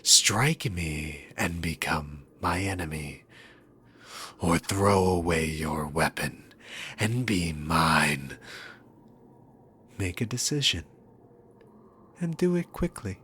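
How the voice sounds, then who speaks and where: A man's voice: deep voice, lively intonation, slow, long pauses, pushed and bright, slight background noise, full character voice. A man voicing a dominant, sarcastic demon king in an ASMR roleplay, taunting the listener with theatrical, arrogant condescension. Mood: menacing.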